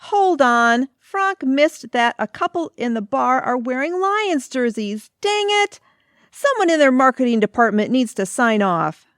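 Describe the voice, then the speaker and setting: A woman's voice: high voice, sing-song, unhurried, fairly bright tone, no echo, studio quality, full character voice. A woman playing a scornful, exasperated older office colleague in a comedy audio drama, delivering sarcastic insults. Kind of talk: community audio theatre comedy acting.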